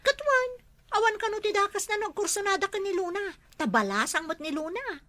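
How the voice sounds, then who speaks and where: A woman acting as a nosy village storekeeper with a bright, high voice and an Ilocano accent. She is prying and full of exclamations in comedy drama style, and her pitch swings widely. The recording is dry and close. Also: animated, brisk, dry recording, full character voice. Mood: gossipy.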